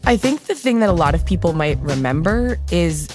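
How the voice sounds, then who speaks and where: A woman's voice: on the low side, sing-song, normal speed, music in the background. An American woman from Long Island, a podcast producer, telling a reported story in a conversational narrative style. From Long Island, New York, United States.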